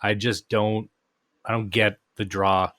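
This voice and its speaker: A man hosts a podcast with a voice of ordinary pitch. The sound is dry and close, and he keeps up a steady run of dry jokes. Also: slow, no echo. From Canada. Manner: joking, dry humor. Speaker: podcast host. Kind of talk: casual podcast conversation.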